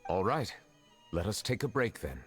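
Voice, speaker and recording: A man voicing a courteous, reserved, centuries-old chief justice in a video game, speaking formally and with measured composure. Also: lowish voice, big pitch swings, faint music behind. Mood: matter-of-fact, considerate. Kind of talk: English video game dub acting.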